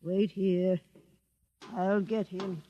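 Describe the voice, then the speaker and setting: A woman's voice: medium pitch, flat delivery, drawn-out words, a little hiss or hum. An actress playing a wary, devout female gatekeeper who questions strangers with suspicion in an old-time radio drama. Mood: grudging. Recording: dull old radio recording, long reverb.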